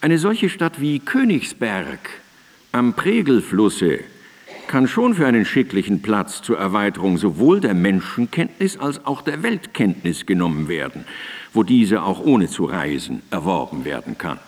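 An actor playing a learned male philosopher in a German radio drama, reciting in a deliberate, formal, lecturing manner. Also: lowish voice, big pitch swings, long reverb tail. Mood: measured, didactic. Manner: deliberate, formal, lecturing delivery of long sentences. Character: learned male philosopher Immanuel Kant, measured and didactic.

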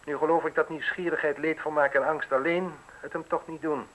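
A man's voice: a little high, steady tone, a little fast, fairly bright tone. An actor playing a defiant, self-assured newspaperman in a Dutch radio play, arguing in an emphatic, unyielding way. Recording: dull lo-fi radio play recording.

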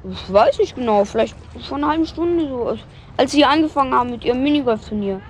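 A woman's voice: sits high, lively intonation, quick pace, a little hiss or hum. A woman in a German radio drama playing a helpful, casual, slightly cheeky youngster who talks matter-of-factly. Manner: casual, matter-of-fact, colloquial.

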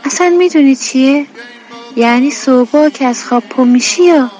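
An actress playing an exasperated but affectionate homemaker in a radio drama, speaking colloquial Iranian Persian in a scolding yet fond way. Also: female voice, sits high, darkish tone, close to an everyday voice, rough recording. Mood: wistful. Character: exasperated, affectionate homemaker woman talking to children. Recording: music bed behind the voice.

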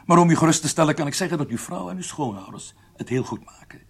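A German doctor interrogating a prisoner in a radio play. His husky voice sits fairly high and swings widely in pitch, while the manner stays clinical, formal and coldly polite, with menace underneath. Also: expressive, fast talker. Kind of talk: radio drama acting.

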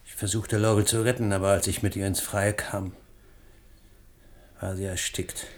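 A man's voice: fairly low pitch, little pitch movement, normal speed, close to an everyday voice. A man playing a guilt-ridden, grieving middle-aged academic in a German radio drama, intense and desperate in manner. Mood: haunted confession. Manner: intense, swings from outbursts to hushed confession.

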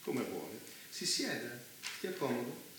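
A man's voice: medium pitch, sing-song, a little slow. An actor playing an urbane, evasive man of power behind a mass killing, polite yet domineering in a theatre dialogue. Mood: courteous, controlling. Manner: polite but domineering, precise, abruptly probing. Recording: very echoey room, faint noise.

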